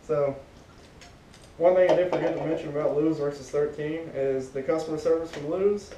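A man's voice: normal speed, warm tone. A young American man in casual review chat as a co-host, picked up by a camera across a living room. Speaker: co-host of fishing gear reviews. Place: living room at home, indoors. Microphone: camera a few metres away. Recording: distant roomy camera audio, rumbly background noise.

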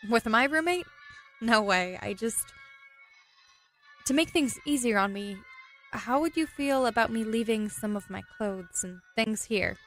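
A woman's voice: fairly high pitch, lively intonation, measured pace, a bit bright, soft background music, low-quality recording. An actress playing a playful young girlfriend in a radio play, with teasing, affectionate, conversational delivery. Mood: tentative. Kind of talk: radio play acting, intimate dialogue.

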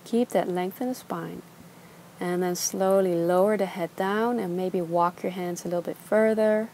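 A young woman teaching a gentle yoga class, giving slow, soothing instructions. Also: lowish voice, slight background noise. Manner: calm, slow, soothing cues. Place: outdoors on a beach.

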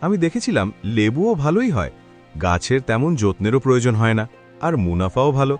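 A man's voice: mid-range voice, fairly quick, faint music behind, natural voice. A man voicing a cheerful hardworking farmer. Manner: friendly, animated. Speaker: farmer Faisal. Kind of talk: cartoon voice acting.

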